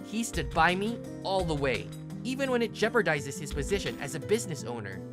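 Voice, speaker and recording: A woman's voice: low-pitched, big pitch swings, a little fast, over music. A woman voicing a male university student, plain-spoken but blunt and tough when provoked, in a manga dub. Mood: earnest, reflective. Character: young male university student, rough ex-delinquent, protective. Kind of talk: manga dub acting and first-person narration.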